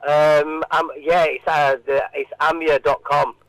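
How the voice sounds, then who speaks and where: A man answering informally in a radio interview, halting and often repeating his words. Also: a little high, flat delivery, a bit bright, no room sound, rough recording.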